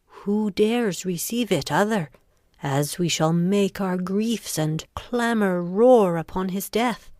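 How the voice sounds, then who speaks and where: A woman playing a ruthless, commanding noblewoman in a Shakespearean audio drama, with deliberate, goading delivery of the verse. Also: medium pitch, darkish tone, no room sound, clean studio sound, natural voice.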